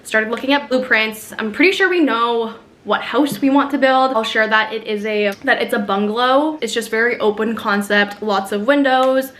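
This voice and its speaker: Young adult woman, lifestyle vlogger; upbeat, chatty, casual to-camera delivery, slightly high voice. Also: normal speed. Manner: chatty, upbeat, talks to camera with soft fillers. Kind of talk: casual vlog chat while doing makeup. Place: at home in front of a mirror.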